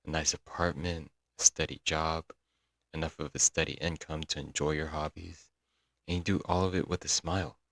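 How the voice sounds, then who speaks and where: A man's voice: extremely low pitch, little pitch movement, normal speed, a bit bright, no room sound. A man playing a young, lovesick and clingy stalker in an intimate, earnest ASMR audio roleplay. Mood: tender, admiring. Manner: doting, earnest, dramatic with sighs and chuckles. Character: lovesick, broke young male yandere stalker-kidnapper, clingy and sweet.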